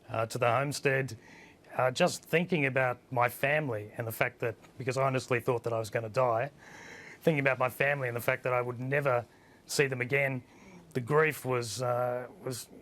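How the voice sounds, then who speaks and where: A man with a husky voice, a guest on a TV panel, plain-spoken and hesitant with many fillers. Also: medium pitch, fairly bright tone. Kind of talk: live TV panel discussion. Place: remote studio link.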